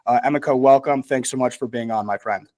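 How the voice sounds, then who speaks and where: A man's voice: mid-range voice, fast talker, a little mellow, no echo, studio quality. A man hosting a podcast interview in a friendly, easygoing way, with frequent ums and casual phrasing. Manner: friendly, easygoing, hesitant fillers. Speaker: podcast host.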